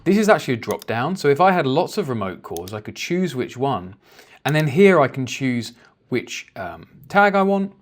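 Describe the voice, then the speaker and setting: A man working as a software trainer, explaining each step informally in a conversational screen-share tutorial. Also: mid-range voice, big pitch swings, moderate pace.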